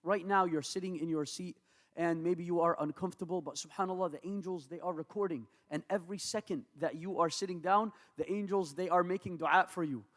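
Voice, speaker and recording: A man born and raised in America, an imam preaching in a mosque, with rapid Arabic invocations and earnest English. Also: high-pitched, steady tone, a bit bright. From United States. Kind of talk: mosque talk with Arabic recitation. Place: large mosque hall. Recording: long hall reverb.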